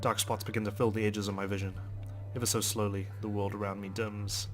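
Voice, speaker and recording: A man's voice: on the low side, flat delivery, fairly quick, a bit bright, faint music behind. A man doing a let's play, reading a visual novel aloud with theatrical expression. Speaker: let's player. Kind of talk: dramatic read-aloud of a visual novel with asides.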